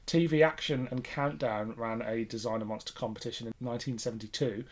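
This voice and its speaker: A man's voice: medium pitch, steady tone, a little fast. Casual man chatting as podcast co-host. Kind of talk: casual fan chat.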